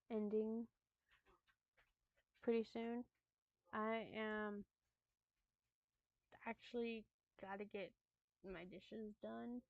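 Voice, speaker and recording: A woman's voice: a little high, gravelly, dry recording. Woman streamer on thin, phone-like audio. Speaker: livestreamer. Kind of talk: casual livestream chat.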